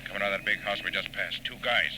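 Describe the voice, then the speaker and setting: Actor as a brash patrol cop, noisy old recording. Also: male voice, on the low side, fast talker, close to an everyday voice. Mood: urgent alarm. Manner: clipped slangy speech. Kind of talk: 1940s radio drama acting. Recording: noisy vintage 1940s radio recording.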